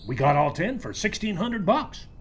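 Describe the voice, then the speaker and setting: An actor playing a breezy, slangy handyman in a radio play, full of corny flattery, with a husky voice. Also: medium pitch, moderate pace. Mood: boastful, chummy. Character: folksy, jokey, slangy male handyman and flatterer.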